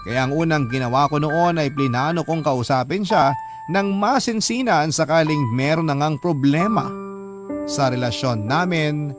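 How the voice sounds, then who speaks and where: An earnest, lovelorn young man, voiced by a male actor tells his story as scripted radio drama narration. His voice is slightly high, polite and confiding. Music plays clearly behind him. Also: normal speed, close to an everyday voice. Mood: wistful, confiding.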